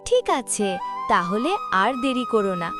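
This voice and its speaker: A woman playing a caring, stubborn young woodcutter's daughter in a cartoon, speaking affectionately with a gentle scolding manner. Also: a little high, sing-song, a little slow, music in the background. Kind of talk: cartoon voice acting.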